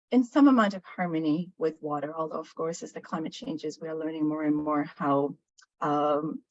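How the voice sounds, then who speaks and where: Low-pitched woman presenting on a call. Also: no echo, dull audio. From Sri Lanka, raised in Dubai. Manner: warm storytelling with many fillers and restarts. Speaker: guest presenter. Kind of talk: webinar presentation.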